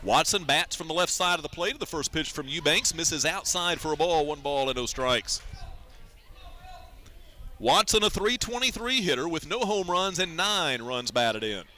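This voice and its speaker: Male radio baseball announcer, middle-aged, fairly high and very bright, punchy voice. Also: expressive, moderate pace. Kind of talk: live radio sports commentary.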